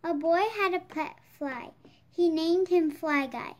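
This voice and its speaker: A young child reads a picture book aloud in a very high voice. The delivery is slow, word by word, as a story for other children. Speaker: storybook reader.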